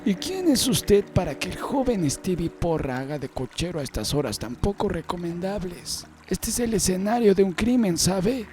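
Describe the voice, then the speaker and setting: A man's voice: high-pitched, expressive, moderate pace, few pauses, pitched above the performer's own voice. A man voicing a tall, menacing, condescending Irish police sergeant in an audiobook, with music behind him. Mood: suspicious, bossy. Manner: condescending, bullying.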